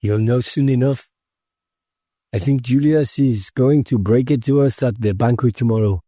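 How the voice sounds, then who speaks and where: A calm, informed young rebel , voiced by a male narrator, speaks without hurry in an audiobook. His voice is mellow and a little husky. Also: medium pitch, moderate pace, no room sound, studio quality, pitched above the performer's own voice, natural voice. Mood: calm, assured. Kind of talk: audiobook character dialogue.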